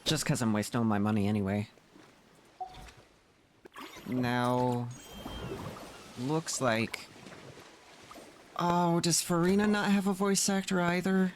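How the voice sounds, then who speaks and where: Slow, muttering male game streamer. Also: on the high side, steady tone, slight background noise. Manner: muttering to himself, halting. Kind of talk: casual live gameplay commentary. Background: game music.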